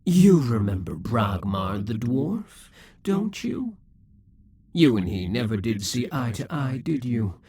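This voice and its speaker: A man voicing a possessive, scheming male demon king in a scripted audio roleplay, speaking in slow, breathy half-whispers. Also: medium pitch, big pitch swings, warm tone, exaggerated voice. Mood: gloating, possessive.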